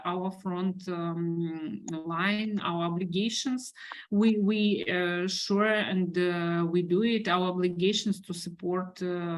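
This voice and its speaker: A woman from Ukraine with a Ukrainian accent, giving a webinar talk over a video call, halting and full of fillers as she searches for words. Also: lowish voice. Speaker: guest speaker, business owner. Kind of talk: webinar presentation.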